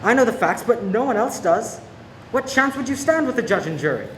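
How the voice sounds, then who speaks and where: A man's voice: very high voice, big pitch swings, fairly quick. A man playing a protective, persuasive police sergeant in love in a radio play. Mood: urgent, pleading. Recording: echoey room with faint noise.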